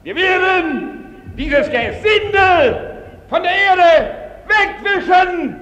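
An actor playing a pompous, threatening fascist general who declaims propaganda in a radio speech. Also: male voice, very high-pitched, animated, takes it slowly, fairly bright tone, exaggerated voice. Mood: furious, contemptuous. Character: bombastic menacing fascist general orating over the radio. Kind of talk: theatrical radio propaganda oratory. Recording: echoing hall with crowd behind.

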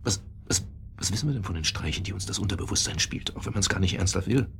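An actor playing a grieving, guilt-obsessed widower in a radio crime drama, speaking haltingly and repeating himself. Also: male voice, low-pitched, animated, vocal fry. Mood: anguished doubt. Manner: halting, repeats himself, sudden agitated outbursts.

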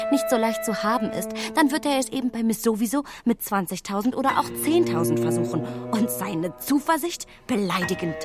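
Woman acting a young gentlewoman heroine, haughty, emphatic, impulsive, radio drama soliloquy, music playing clearly behind. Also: medium pitch, natural voice. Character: proud, self-reproaching young gentlewoman heroine, indignant and impulsive.

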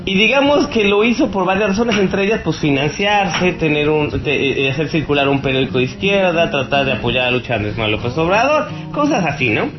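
A man with a Mexican accent giving informal, opinionated political commentary as a radio host, rambling and halting, with blunt scorn. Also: on the high side, muffled recording. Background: music.

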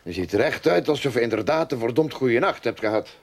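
An actor playing a gruff, teasing, fatherly police boss in a radio drama, wry and sardonic. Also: mid-range voice, expressive, quick pace, darkish tone.

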